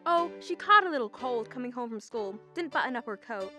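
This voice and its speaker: A woman's voice: fairly high pitch, expressive, brisk, bright, forceful tone, faint music behind. A young woman playing a patient, concerned wife and mother in a radio drama, soothing in manner. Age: young adult. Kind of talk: school radio drama acting.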